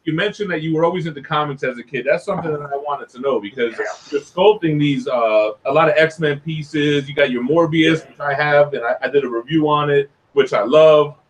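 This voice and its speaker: A male host leads a casual interview in a laid-back, joking way. His voice sits a little high for a man. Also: a little fast, hardly any pauses, poor audio. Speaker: show host.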